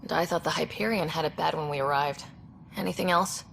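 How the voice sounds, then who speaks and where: A woman's voice: deep voice, fairly quick, creaky, a bit bright, slight background noise, low-quality recording. A woman playing a young space explorer in a video game, direct and inquisitive, in a plain conversational voice. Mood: curious. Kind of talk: video game dialogue acting.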